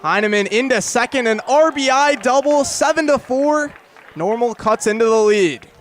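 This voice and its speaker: A young man calls baseball live on the radio in a high-pitched voice. He speaks in short, clipped phrases and builds to excited calls as the play develops. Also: moderate pace, some chatter behind. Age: young adult. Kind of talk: live radio sports commentary.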